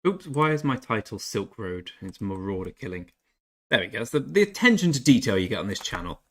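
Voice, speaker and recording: A man's voice: on the low side, very animated, brisk, fairly bright tone. A young English man chatting casually on a game stream, reading chat and joking about himself. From England. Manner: chatty, self-deprecating, reads chat aloud.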